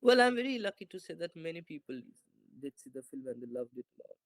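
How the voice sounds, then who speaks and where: A man's voice: fairly high pitch, sing-song, fast, dry recording. A young man, a filmmaker, answering interview questions over a video call in a thoughtful, hesitant way with many fillers and restarts. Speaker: filmmaker guest. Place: bright indoor room. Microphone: video call, laptop camera.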